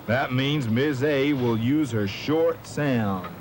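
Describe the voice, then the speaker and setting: Man doing an earnest methodical cop puppet for kids tv, slow careful talker, bit of old tape noise. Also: medium pitch, a little mellow, close to an everyday voice. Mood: earnest, explaining. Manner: deliberate, careful enunciation. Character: earnest, methodical cartoon policeman. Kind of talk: children's educational puppet show acting.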